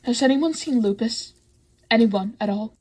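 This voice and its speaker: A woman playing a level-headed female leader in an audio roleplay, speaking in a measured, firm way with a husky, breathy voice. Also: fairly high pitch, darkish tone. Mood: calm, firm.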